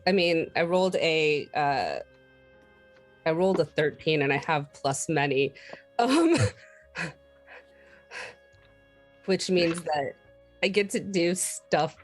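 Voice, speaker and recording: Slow-paced, lowish-voiced woman playing a tabletop game. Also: lively intonation, faint music behind. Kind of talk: casual game play, describing character's actions.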